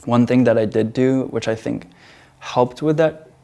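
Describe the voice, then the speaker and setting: A man's voice: medium pitch, unhurried, vocal fry, dark tone. A young man from the United States, a featured artist answering interview questions in a hesitant way, with many fillers and restarts.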